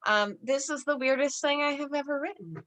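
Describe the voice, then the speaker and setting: A woman's voice: high-pitched, a little fast, fairly bright tone. A woman poet talking casually and informally at a poetry gathering, with some swearing. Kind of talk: casual chat.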